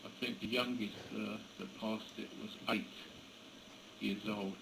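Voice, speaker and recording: A British man chatting casually on ham radio in a hesitant, halting way. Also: lowish voice, flat delivery, gravelly. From England. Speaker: amateur radio operator. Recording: noisy amateur radio contact recording.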